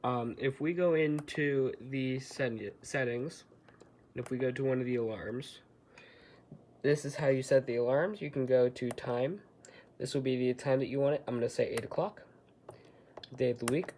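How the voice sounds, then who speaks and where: Man, casual product walkthrough, hesitant explanations, mid-pitched voice, background noise. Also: normal speed, darkish tone. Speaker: hobbyist product reviewer. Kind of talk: casual unboxing and demonstration.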